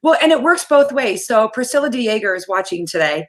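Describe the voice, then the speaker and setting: A woman hosting a casual interview on a video call, energetic and chatty, often restarting her sentences. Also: a little high, brisk. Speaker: host.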